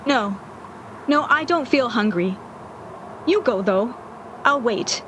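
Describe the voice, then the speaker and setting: A woman's voice: on the high side, expressive, takes it slowly, noisy background. An actress playing a lovestruck, stubbornly hopeful young woman in a 1940s radio drama, with an insistent, dramatic manner. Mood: anxious, stubborn.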